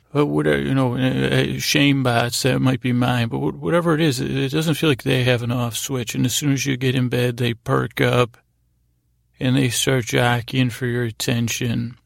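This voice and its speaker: Gravelly, meandering man hosting a sleep podcast. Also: mid-range voice, dry recording, studio quality. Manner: meandering, halting, easily distracted. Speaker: podcast host. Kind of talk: rambling bedtime monologue to help listeners fall asleep.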